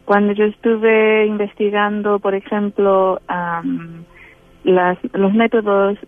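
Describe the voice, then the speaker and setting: A woman's voice: medium pitch, flat delivery, slow pace. A Chilean woman with a Chilean Spanish accent, a guest in a spiritual interview, who talks hesitantly with many fillers and restarts. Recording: tinny phone-line sound.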